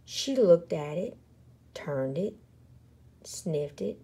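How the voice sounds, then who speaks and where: A woman reading a children's picture book aloud in a dramatic, expressive way, with deliberate and playful emphasis. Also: on the low side, breathy edge. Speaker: story reader.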